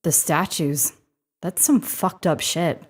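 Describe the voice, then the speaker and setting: A woman's voice: sits low, takes it slowly, slightly rough, heavily acted. A woman playing a blunt, nosy, flippant crew companion in a casual sci-fi audio drama.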